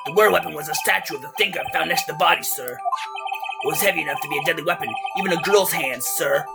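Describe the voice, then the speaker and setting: Man doing a fast, gruff, bumbling police detective. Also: fairly high pitch, over loud music. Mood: eager, deferential. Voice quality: strained, throaty. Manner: deferential, peppering answers with sir. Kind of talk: video game fan dub character acting.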